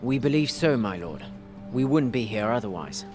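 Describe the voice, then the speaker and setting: An actor playing a discreet, courteous adventurer in a fantasy video game, speaking formally and carefully. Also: male voice, medium pitch, very animated, normal speed, over music, natural voice. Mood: guarded, polite.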